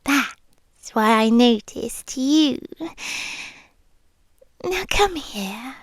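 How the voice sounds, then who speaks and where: Woman playing a slow, high-voiced British vampire girlfriend. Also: lively intonation, slightly rough, no echo, clean studio sound, exaggerated voice. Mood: coaxing, affectionate. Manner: slow, hushed, lingering, coaxing with soft pauses. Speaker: ASMR roleplay performer. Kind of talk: intimate girlfriend roleplay ASMR, often whispered. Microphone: close microphone, dry.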